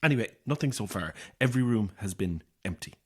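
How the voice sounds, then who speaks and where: A woman's voice: rumbling low, expressive, fast, slightly rough, heavily acted. An actress in a horror audio drama playing a frightened, unravelling woman, anxious and moving between whispers and cries. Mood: uneasy. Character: frightened, unravelling woman trapped on an empty housing estate.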